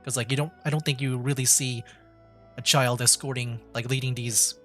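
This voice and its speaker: A man gives casual live game commentary in a mid-pitched voice. He is chatty and thinks aloud as he goes. Faint music plays in the background. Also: fairly even intonation, normal speed, bright, forceful tone. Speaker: gaming streamer.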